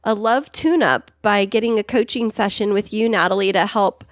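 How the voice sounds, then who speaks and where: A woman's voice: mid-range voice, moderate pace, no room sound, clean studio sound. A woman co-hosting a podcast, upbeat and enthusiastic, with a playful streak. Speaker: podcast co-host. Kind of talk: casual podcast chat.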